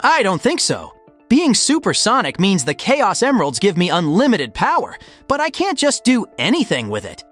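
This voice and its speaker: A woman's voice: medium pitch, wildly swooping pitch, normal speed, faint music behind. A woman voicing a brash, determined young male hedgehog hero with a casual, punchy cartoon delivery. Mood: weary, frank.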